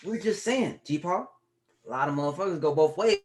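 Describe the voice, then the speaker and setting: An actor at a table read playing a teasing, slangy young grandson. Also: male voice, fairly high pitch, animated, moderate pace, darkish tone, a little hiss or hum, close to an everyday voice.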